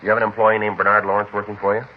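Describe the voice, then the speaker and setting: An actor playing a terse, businesslike police detective in a 1950s radio drama, clipped, polite and matter-of-fact. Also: male voice, fairly low pitch, monotone, rapid-fire, close to an everyday voice. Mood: brisk, questioning. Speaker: detective narrator and lead. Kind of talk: 1950s radio drama, documentary-like scripted narration. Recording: muffled, hissy old 1950s radio recording.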